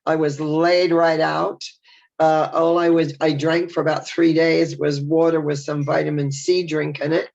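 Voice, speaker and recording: An older woman talks through a stuffed-up nose in a chatty, meandering video message. Her voice has a gravelly fry, and the sound is dull and lo-fi. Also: low-pitched, flat delivery, a little slow. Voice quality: nasal. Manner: chatty, warm and reflective, with rambling restarts. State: congested from a head cold. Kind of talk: casual spoken video message.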